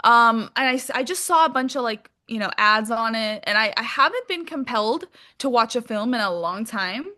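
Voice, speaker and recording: Female guest for a casual interview, informal and playful, with a crisp forward brightness and slightly raised pitch. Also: animated, moderate pace, hardly any pauses. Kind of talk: casual chat.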